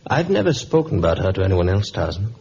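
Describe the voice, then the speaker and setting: A man acting a middle-aged, bereaved father in a vintage radio drama, gentle, wistful and hesitant. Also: deep voice, big pitch swings, fast talker, gravelly, exaggerated voice. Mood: tender, sorrowful. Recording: muffled, hissy old radio recording.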